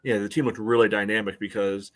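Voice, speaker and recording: An American man with a fairly low voice works through sports analysis as a podcast co-host. He speaks casually, in a measured, conversational manner. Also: flat delivery, a bit bright. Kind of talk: casual soccer match analysis.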